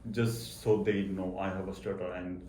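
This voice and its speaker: A man with a low voice and a stutter answers interview questions. He repeats words and halts as he explains himself earnestly. The room is echoey, with faint noise behind him. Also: flat delivery, normal speed, a little mellow. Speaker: interview guest, accountant. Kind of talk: personal interview talk.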